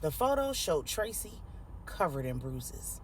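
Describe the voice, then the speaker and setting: A woman narrating a story dramatically, in deliberate short phrases with pauses between them. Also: deep voice, big pitch swings, a little hiss or hum, low-quality recording. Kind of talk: dramatic bedtime-story narration for grown-ups.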